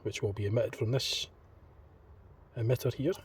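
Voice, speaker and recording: A man thinking aloud in an unscripted hands-on demonstration, speaking in a hesitant, tentative, halting way. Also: mid-range voice, steady tone. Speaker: hobbyist demonstrator in a homemade teardown video. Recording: distant mic, clearly noisy homemade recording.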